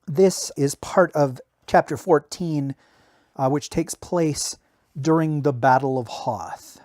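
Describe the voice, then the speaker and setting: A man's voice: medium pitch, darkish tone, no room sound. A man who hosts a podcast, speaking in the style of an amateur audiobook narrator in a close home recording. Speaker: podcast host reading a novel excerpt.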